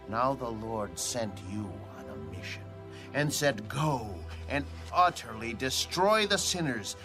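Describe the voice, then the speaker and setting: A man's voice: mid-range voice, sharp tone. A man playing a stern prophet rebuking a king in a dramatized audio Bible, speaking in a solemn, accusing way. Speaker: actor.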